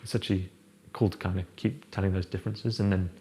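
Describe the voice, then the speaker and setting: A young man with a low, gravelly voice talks in a relaxed, rambling way, full of fillers and restarts. He sounds like an architect explaining his design in a big open room of a house. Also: fast, a little hiss or hum. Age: young adult. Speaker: architect guest. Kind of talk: casual chat, house tour. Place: large open-plan house living room, indoors.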